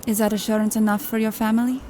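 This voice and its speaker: A woman's voice: a little high, level tone, fast talker, dark tone, slight background noise, natural voice. A woman acting a self-assured, teasing crime boss in an audio drama, with a sardonic delivery. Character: cool, teasing female crime boss who hires killers.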